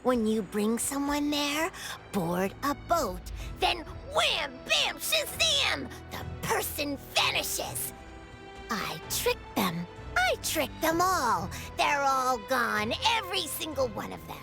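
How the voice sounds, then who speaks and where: Squeaky, mischievous little teddy bear. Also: female voice, very animated, takes it slowly, vocal fry, over music, exaggerated voice. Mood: gloating, malicious. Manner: theatrical, taunting, speaks of itself in third person. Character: small mischievous shapeshifting teddy bear, gleefully malicious, childlike. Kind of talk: anime-style video game voice acting.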